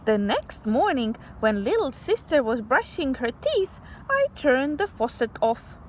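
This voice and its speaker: Slow-paced woman teacher reading to children. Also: sits high, big pitch swings, a little hiss or hum. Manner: simple explanations addressed to young children. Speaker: teacher reading aloud to a class. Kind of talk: picture-book read-aloud with explanatory asides.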